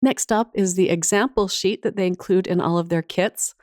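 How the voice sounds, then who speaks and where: A woman's voice: fairly low pitch, normal speed, a little mellow, no room sound, clean studio sound. A woman hosting a casual craft tutorial voice-over, chatty and enthusiastic, her voice slightly off from a cold and cough. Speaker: craft channel host.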